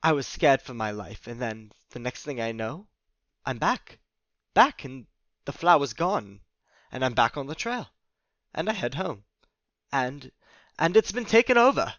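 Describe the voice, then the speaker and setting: A man playing a flustered, confused, nature-loving man who speaks haltingly in formal words in an audio drama. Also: mid-range voice, big pitch swings, a bit bright, dry recording, muffled recording. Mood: dazed, awed, then agitated, insistent. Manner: halting, stammering, formal wording.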